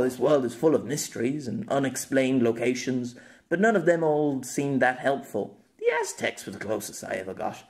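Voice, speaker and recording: A man's voice: mid-range voice, natural voice. A man playing a world-weary, kindly male author who talks in a hesitant, rueful, conversational way, in an audiobook. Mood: wistful, musing.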